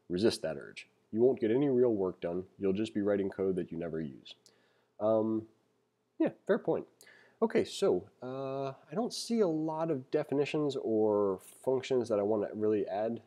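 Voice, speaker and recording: A man with a low, very mellow, relaxed voice. He works as a programming instructor, reading a text aloud in a casual way. Between passages he thinks out loud and explains things informally. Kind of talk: casual read-along tutorial, reading aloud and commenting. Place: screen-recorded voice-over.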